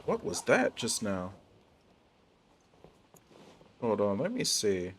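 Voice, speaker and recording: A man's voice: lowish voice, wildly swooping pitch, slow, a little hiss or hum. A man giving casual, off-the-cuff livestream commentary as he plays a video game. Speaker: game streamer. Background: faint game music.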